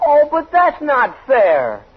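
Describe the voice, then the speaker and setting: A man's voice: very high-pitched, huge pitch swings, slow pace, heavily acted. An actor playing a stubborn, whining thirteen-year-old boy who pleads and argues in a 1940s radio comedy. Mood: defiant, sulky. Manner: pleading, argumentative. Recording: crackly muffled 1940s radio, audience noise.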